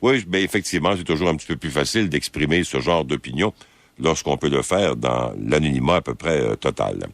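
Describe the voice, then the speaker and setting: A man with a Quebec French accent hosting an overnight phone-in radio show, speaking slowly and hesitantly with drawn-out fillers. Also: extremely low pitch, expressive, no room sound. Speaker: overnight radio call-in host. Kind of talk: late-night phone-in talk radio. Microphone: studio microphone.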